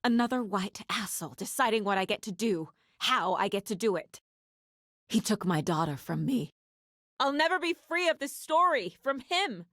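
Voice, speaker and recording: An actress playing a determined, sharp and guarded detective and mother in a video game, with naturalistic delivery. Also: medium pitch, lively intonation, normal speed, no room sound, clean studio sound, lightly acted. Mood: defiant, then despairing.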